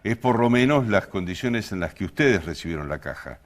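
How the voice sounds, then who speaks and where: A man's voice: on the low side, lively intonation, fairly quick. A man with an Argentine accent hosting an interview in a conversational, roundabout way. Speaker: interview host.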